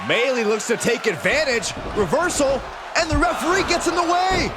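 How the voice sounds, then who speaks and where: A male wrestling commentator delivers dramatic calls in a very high, excited voice. A big crowd roars loudly around him in a noisy arena. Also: normal speed. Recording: loud arena crowd noise behind. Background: roaring crowd, ring slams.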